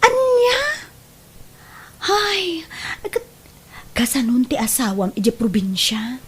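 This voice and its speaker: A woman playing a concerned, candid young friend in an Ilocano radio drama, expressive and admonishing. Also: high voice, takes it slowly, breathy edge, heavily acted. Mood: surprised, probing.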